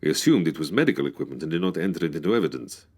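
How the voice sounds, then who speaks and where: An officious police official from a forties-style radio mystery. His voice is very deep yet moves through wide swings of pitch, formal and precise in every phrase. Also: animated, rapid-fire, a little mellow, slightly rough, heavily acted. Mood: puzzled. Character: formal, exasperated male Cairo police official. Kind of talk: 1940s detective radio drama pastiche.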